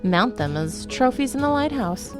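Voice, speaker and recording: A woman's voice: a little high, sing-song, moderate pace, over music, pitched above the performer's own voice. A woman voicing a playful, curious female adventurer.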